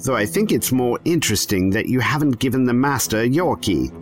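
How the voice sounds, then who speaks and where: A man playing a weary, secretive time traveller in an audio drama, measured, evasive and wry. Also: mid-range voice, lively intonation, music in the background, close to an everyday voice.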